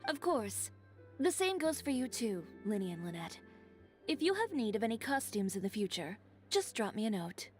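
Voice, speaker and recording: An actress plays a composed, proud fashion designer from a fantasy game, her voice sitting at an ordinary middle pitch. She speaks in an unhurried, measured way. Game music plays steadily underneath. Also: natural voice. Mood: gracious. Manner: calm, composed, measured delivery. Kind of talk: game cutscene voice acting.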